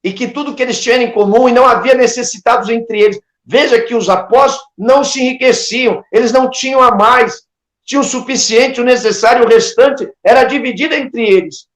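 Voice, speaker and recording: A man's voice: very high voice, a little fast, a bit bright. A Brazilian man preaching an impassioned sermon, emphatic and exhorting, with rhetorical questions and devotional interjections. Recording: echoey, reverberant room sound.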